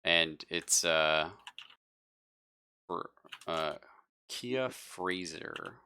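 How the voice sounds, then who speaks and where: Man, game master, deep pitch, slow pace, halting improvised horror narration. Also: expressive, pushed and bright, slight background noise.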